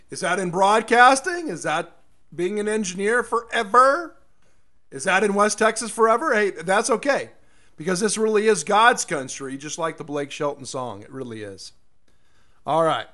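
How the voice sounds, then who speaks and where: High-pitched, slightly hoarse American radio host man. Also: pushed and bright. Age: middle-aged. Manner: rambling, folksy. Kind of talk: casual solo radio show chat.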